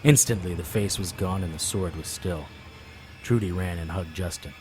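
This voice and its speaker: A man plays a defiant, hard-boiled monster hunter telling his own story in an audio drama. The voice is on the low side and terse. Music runs softly underneath. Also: moderate pace, close to an everyday voice. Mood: grim, intent. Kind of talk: scripted first-person audio drama narration and acting.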